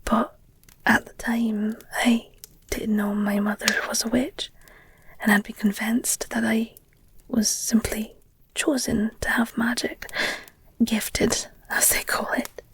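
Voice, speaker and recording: A woman playing an affectionate, candid werewolf girlfriend in an intimate ASMR audio roleplay, speaking tenderly and hesitantly in a breathy whisper. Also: fairly high pitch, flat delivery, heavily acted. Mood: confiding, hesitant. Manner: slow, tender, hesitant, confiding. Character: affectionate werewolf girlfriend, gentle, candid, wistful about her past.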